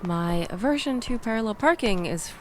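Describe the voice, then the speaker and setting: A woman playing a shaken, chatty young woman in an intimate audio roleplay, rambling with wry asides and breathy sighs as she confides in the listener. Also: medium pitch, animated, normal speed, a little hiss or hum, close to an everyday voice. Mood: rattled, wry. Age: young adult. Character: shaken, chatty young woman escaping a bad date, self-deprecating and grateful.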